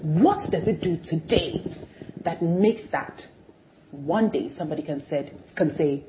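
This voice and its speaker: A middle-aged woman, a television personality, speaking as an interview guest in an animated, emphatic way that swings between loud and soft. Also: sits low, a little slow, noisy background. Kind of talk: conversational interview. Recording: dull lo-fi recording.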